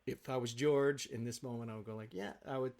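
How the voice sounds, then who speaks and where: A man speaking casually as an interview guest on a video call, in a halting, rambling, animated way. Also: mid-range voice, creaky. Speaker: guest, stage actor. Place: home office, on a video call. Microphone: video call microphone.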